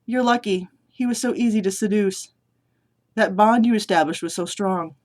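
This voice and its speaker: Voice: medium pitch, normal speed, pitched above the performer's own voice, lightly acted. A vlogger reading aloud and voicing an older male military commander who is smug and manipulative. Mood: smug commanding. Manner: raised, louder and more forceful than own talk. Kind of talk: reading a story scene aloud.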